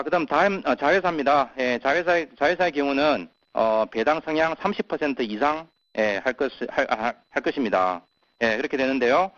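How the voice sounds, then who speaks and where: A man's voice: fairly high pitch, a bit bright. Hesitant man on a muffled conference call. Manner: halting, many filler sounds. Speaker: company executive presenting. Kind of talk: earnings call presentation and answers.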